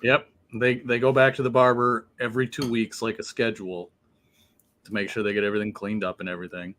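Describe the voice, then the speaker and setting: A man's voice: lowish voice, flat delivery, quick pace, fairly bright tone, slight background noise. A man hosting a livestream from his laptop, chatting casually, laughing often and swearing freely. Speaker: livestream host. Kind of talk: casual chat. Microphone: laptop on a remote call.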